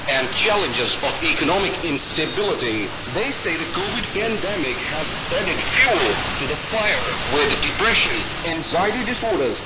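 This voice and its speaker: A man's voice: on the high side, big pitch swings, moderate pace, few pauses, highs cut off, very noisy. A man reading a scripted news report as a correspondent, heard on a shortwave radio broadcast.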